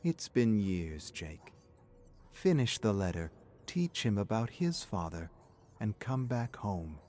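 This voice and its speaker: A man voicing a gentle, reassuring mother in soft, tender, steady storytelling dialogue. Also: on the low side, slow, faint music behind. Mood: tender urging.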